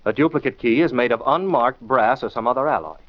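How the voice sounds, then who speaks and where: A man's voice: mid-range voice, a little fast, dry recording, close to an everyday voice. A man acting a shrewd, scornful radio detective who lectures emphatically in rhetorical questions, in 1940s style. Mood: probing. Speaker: detective lead and show host. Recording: muffled 1940s radio recording.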